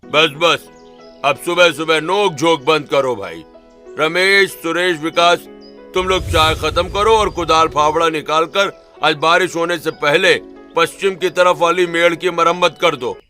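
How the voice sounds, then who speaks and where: A man's voice: a little high, steady tone, unhurried, bright, forceful tone, faint music behind. A man voicing an elderly farmer father, a weighty, commanding village patriarch in a cartoon story. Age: older.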